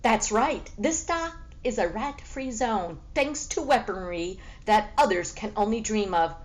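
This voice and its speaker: A woman's voice: medium pitch, lively intonation, a little slow, natural voice. A woman voicing a haughty, boastful female cat in a storybook, sassy and sarcastic, with grand self-important phrasing. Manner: sassy, grandly refers to herself in third person.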